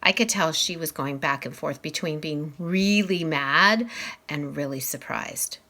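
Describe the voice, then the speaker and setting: A woman reading a children's novel aloud in an expressive, emphatic storytelling style. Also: low-pitched, measured pace. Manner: animated, dramatized dialogue with emphatic delivery.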